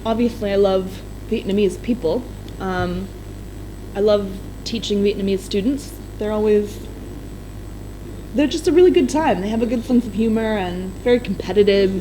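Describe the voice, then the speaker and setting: A young woman, an English teacher, chatting casually on a live stream with quick laughs and frequent ums. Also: medium pitch, background noise. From United States.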